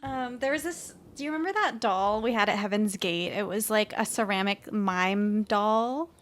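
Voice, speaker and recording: A woman's voice: medium pitch, normal speed. A woman co-hosting a podcast, speaking in a casual, conversational way as she leads the chat. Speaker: podcast co-host leading the recap. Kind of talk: casual chat and episode recap.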